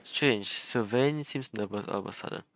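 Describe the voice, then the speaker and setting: A game streamer giving casual, halting live reactions and muttered asides into a close microphone. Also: mid-range voice, a little hiss or hum.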